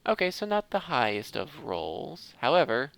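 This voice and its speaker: A man's voice: medium pitch, huge pitch swings, normal speed, vocal fry. A man hosting a gaming video, giving casual commentary and thinking aloud as he talks through each step. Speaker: host of a gaming video series.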